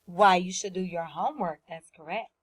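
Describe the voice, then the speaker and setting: A woman's voice: fairly low pitch, normal speed, slightly rough. A woman teacher giving a lesson to young children, explaining simply, repeating points and asking questions. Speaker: first-grade teacher.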